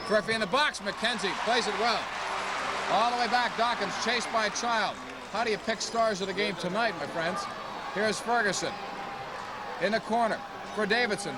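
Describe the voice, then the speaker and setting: A man calling live indoor soccer play-by-play as a commentator, in clipped running phrases. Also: high voice. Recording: loud crowd noise, noisy old sports broadcast. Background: roaring arena crowd.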